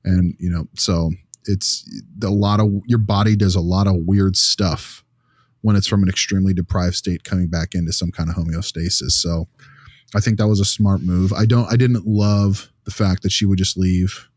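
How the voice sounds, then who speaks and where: A man with a low voice talks through his opinions on a podcast in a casual, blunt way. The sound is dry and close, with very little room to it. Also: steady tone, moderate pace, a little mellow, dry recording. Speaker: podcast co-host and clinical discussant.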